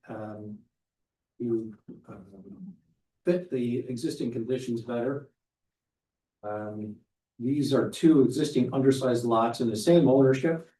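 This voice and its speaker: Man presenting at a public meeting, hesitant, soft-edged dark timbre, recorded distantly with strong room echo. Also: mid-range voice. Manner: halting, hesitant. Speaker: presenter of a project to the board. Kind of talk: unscripted explanation at a public meeting. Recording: echoey room, distant from microphone.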